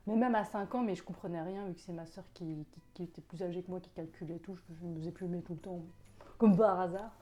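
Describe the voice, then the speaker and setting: A woman in her forties with a softened Parisian French accent, a chatty, animated guest in a casual interview who laughs often. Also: lowish voice, fast. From Paris suburbs, France.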